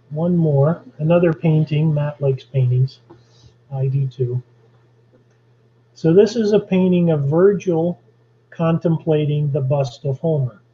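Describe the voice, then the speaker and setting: A man's voice: a little high, dark tone. A man from Canada giving an online lecture on poetry and history, explaining at an easy pace with jokey asides. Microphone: video call. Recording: dull lo-fi video call audio.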